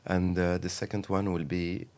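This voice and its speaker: Very low-voiced man talking business. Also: flat delivery, normal speed, darkish tone. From Morocco. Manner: thoughtful, with many fillers and restarts. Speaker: investment advisor, podcast guest. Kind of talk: casual interview talk.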